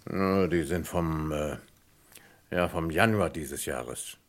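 An actor playing a sceptical, methodical French police commissioner in a German radio crime drama, speaking in a measured, formal, matter-of-fact way. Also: male voice, a bass voice, exaggerated voice. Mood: matter-of-fact, hesitant.

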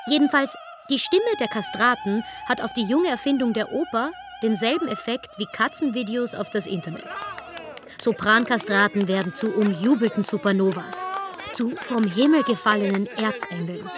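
Wry woman narrator over music. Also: on the high side. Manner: wry, sardonic. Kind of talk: scripted documentary narration for radio.

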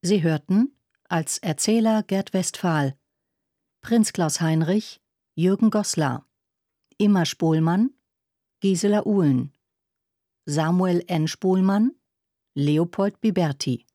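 A woman's voice: on the low side, slow, pauses often, dark tone, dry recording, studio quality. A woman radio announcer reading out programme credits in a formal style.

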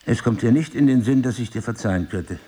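An actor playing a middle-aged husband, wounded yet devoted, who argues in articulate, deliberate phrases in a stage-play dialogue. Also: male voice, medium pitch, mellow, slightly rough, close to an everyday voice. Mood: quiet, confessing. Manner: articulate, deliberate, lecturing, ironic. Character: reproachful yet devoted husband, wounded, philosophizing crime writer.

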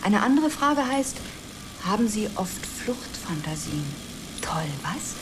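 An actress playing a forward, coaxing, excitable woman space traveller in a radio drama. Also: medium pitch, slow. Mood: coaxing, chatty. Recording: clearly noisy engine-like ambience behind.

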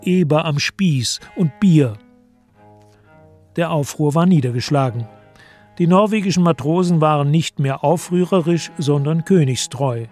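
Measured male narrator, slightly high-pitched. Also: a little mellow, faint music behind. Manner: measured, deliberate storytelling. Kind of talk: scripted literary narration in a German radio play.

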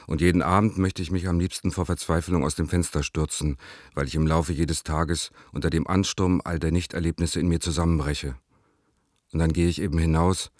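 A tender, brooding lover reading his letter for a radio play. The voice is extremely deep and sits in a dry, close space. The delivery is intimate and deliberate, weighted with melancholy. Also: a little mellow, no echo.

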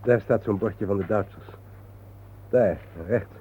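An actor playing a grave, world-weary man guiding his friend through war cemeteries in a solemn, measured, sardonic radio-play manner. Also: fairly low pitch, slight background noise, lightly acted. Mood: bitter, weary. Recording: muffled phone-like old radio recording, reverberant.

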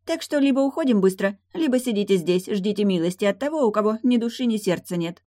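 A woman's voice: mid-range voice, expressive, fast, mellow, dry recording, studio quality, pitched above the performer's own voice, natural voice. A woman voicing a young female mermaid in an audiobook, teasing, carefree, sly and haughty, with brash exclamations. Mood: impatient, scornful.

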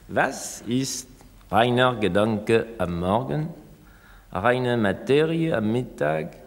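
A man lecturing in a scripted radio-essay dialogue, formal, deliberate and oracular as he expounds ideas. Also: medium pitch, expressive. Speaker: thinker expounding ideas on sound. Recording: long reverberant hall.

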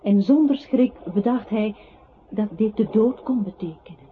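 A woman playing a calm, ominous disembodied voice that haunts a man, narrating gravely and with measure in a radio play. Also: mid-range voice, moderate pace, slight background noise, natural voice. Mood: grave narration. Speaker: radio drama narrator-character. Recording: thin phone-like old radio recording. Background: music.

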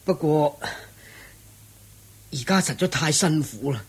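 A proud ascetic worn down by suffering, in a radio drama. The voice sits somewhat high and is extremely hoarse. He drags out his words with weary endurance. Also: expressive, slow, full character voice. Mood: pained, proud. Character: suffering, proud male ascetic covered in sores. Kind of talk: Cantonese radio drama acting.

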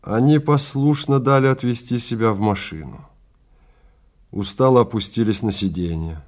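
A man narrating a story in a theatrical, deliberate manner with dramatic pauses. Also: medium pitch, darkish tone.

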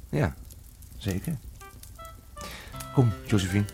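An actor playing a calm, courteous husband in a radio drama. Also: male voice, on the low side, slow, soft background music.

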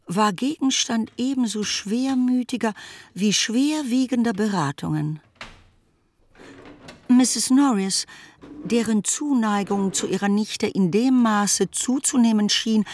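An actress playing a novelist narrator, fond of her heroine, articulate and measured in an audio drama. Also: medium pitch, a little mellow, natural voice. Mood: composed, grave.

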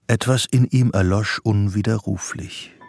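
An actor playing the sober narrator of a radio thriller, telling the story in a measured, detached way. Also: male voice, fairly low pitch, vocal fry, a little mellow. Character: sober third-person narrator of a psychological thriller. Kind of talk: scripted radio play narration. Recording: music under the narration. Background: music.

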